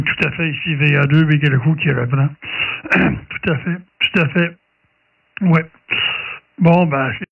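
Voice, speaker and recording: A man with a Quebec French accent making casual ham radio conversation, hesitating and repeating his phrases. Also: fairly high pitch. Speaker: amateur radio operator. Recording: distant, tinny narrow-band radio sound.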